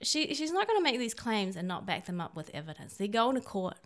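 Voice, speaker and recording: A woman's voice: medium pitch, sing-song, quick pace, a bit bright. Woman giving emphatic political commentary. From born in China. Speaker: talk show co-host.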